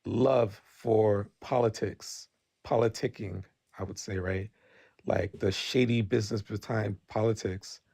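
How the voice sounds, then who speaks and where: A man with a low, gravelly voice, who grew up Nigerian, speaks bluntly in a casual podcast debate. He restarts his sentences often and keeps checking in with "right?". The sound is dry and close to the mic. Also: fairly even intonation, unhurried, a little mellow, dry recording. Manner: candid, blunt, frequent restarts and "right?" tags.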